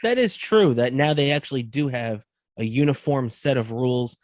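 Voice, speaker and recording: A man's voice: medium pitch, normal speed, a little mellow, no room sound, studio quality. A man in his thirties co-hosting a sports podcast, talking casually and laying out his opinions. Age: 30s.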